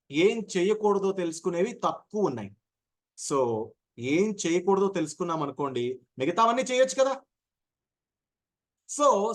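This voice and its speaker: A man teaching informally as a voice trainer, explaining step by step and asking questions that he answers himself. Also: sits high. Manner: explanatory, asks and answers own questions, repeats for emphasis. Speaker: voice modulation trainer. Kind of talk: informal teaching with acted everyday examples.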